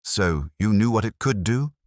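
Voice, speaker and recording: A man voicing a gentle questioning sergeant. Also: lowish voice, lively intonation, moderate pace, no room sound, clean studio sound, pitched above the performer's own voice, close to an everyday voice.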